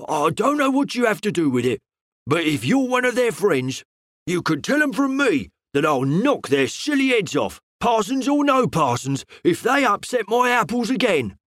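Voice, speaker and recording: A man's voice: very high voice, big pitch swings, moderate pace, a bit bright, no echo, clean studio sound, voice pushed up, exaggerated voice. A man voicing a red-faced, hot-tempered male greengrocer who blusters and threatens. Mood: angry.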